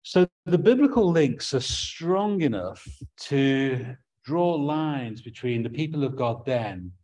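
A man's voice: mid-range voice, darkish tone. A middle-aged man with an English accent preaching a sermon from a small room at home, earnest and prone to restarting his words.

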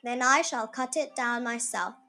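A woman's voice: fairly high pitch, normal speed, pushed and bright, soft background music. A woman voicing a plucky, hardworking little red hen from a storybook, earnest and determined.

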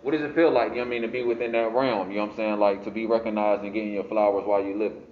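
A man's voice: on the low side, steady tone, racing, slight background noise. An American man interviewing someone in a casual, informal way, peppering his questions with little filler phrases, in an indoor space. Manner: informal, frequent "you know". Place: indoor art gallery. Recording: echoey room, dull muffled sound.

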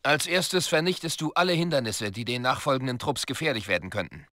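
Stern military commander. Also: male voice, medium pitch, expressive, brisk, pushed and bright, no room sound. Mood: brisk, instructing. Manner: commanding, curt, instructive. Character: stern male military commander briefing a soldier. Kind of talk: video game dialogue, tutorial instruction.

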